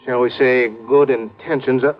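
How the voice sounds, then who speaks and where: A man plays a cagey racketeer in a classic radio crime show. He is oily and formally polite, choosing words with guarded pauses. The recording is dull and dated. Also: mid-range voice, fairly even intonation, lightly acted. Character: smooth, cagey male crime boss fronting as lender. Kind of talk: 1940s-50s radio crime acting. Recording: muffled old radio recording.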